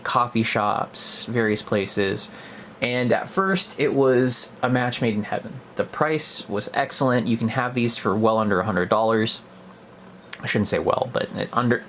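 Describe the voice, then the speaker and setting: A man's voice: mid-range voice, a little hiss or hum. A young man giving a casual, unscripted product review in a hesitant, conversational way, as if thinking aloud.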